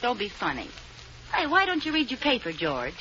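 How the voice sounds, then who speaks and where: A woman's voice: a little high, wildly swooping pitch, normal speed, sharp tone, heavily acted. An actress playing a playful, scheming young wife in a 1940s radio sitcom, coaxing her husband. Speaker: lead actress. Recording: muffled old radio broadcast with hiss.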